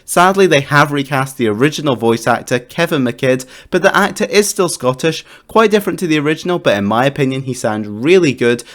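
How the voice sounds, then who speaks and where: A man's voice: medium pitch, animated, a little fast, few pauses. A man narrating a scripted update for a video game channel as its host, enthusiastic and putting emphasis on each new point. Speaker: gaming YouTube host.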